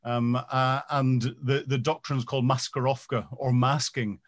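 Halting man recounting military experience. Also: medium pitch. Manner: halting, with many ums and repeated starts, growing animated. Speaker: military veteran guest. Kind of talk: conversational interview answer.

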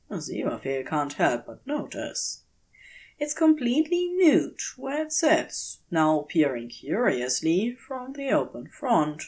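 A woman reading a scripted story aloud with an expressive, unhurried storytelling delivery. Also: medium pitch. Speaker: audiobook-style reader of fan fiction.

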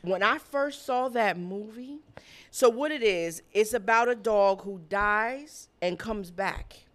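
A woman co-hosting a podcast who tells stories in casual chat, blunt and animated, and swears freely. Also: mid-range voice, takes it slowly. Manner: blunt, profane and animated storytelling. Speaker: podcast co-host.

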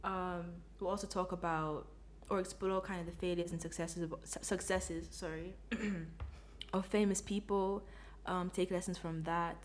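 A woman's voice: lowish voice, a little slow, slight background noise. Chatty young woman co-host. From Ghana. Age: young adult. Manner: relaxed, chatty, laughs often. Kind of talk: casual chat.

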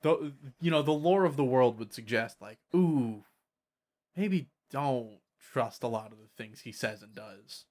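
A man's voice: mid-range voice, animated, measured pace, darkish tone. A man chatting casually as a podcast host about tabletop gaming, often repeating words and trailing off.

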